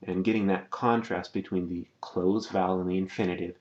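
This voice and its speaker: Casting: low-voiced male teacher, patient, drawn-out example words, dull lo-fi voice-over. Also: fairly even intonation. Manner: patient, repeats words slowly and checks in with "okay?". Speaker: language teacher. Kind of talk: slide-based language lesson.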